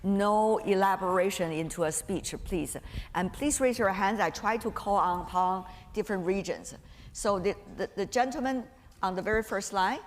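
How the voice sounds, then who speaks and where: A woman with a medium-pitched voice moderates a live panel, giving brisk, clear directions. The big room echoes around her and there is a little noise behind. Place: conference panel hall. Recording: echoey hall with some noise.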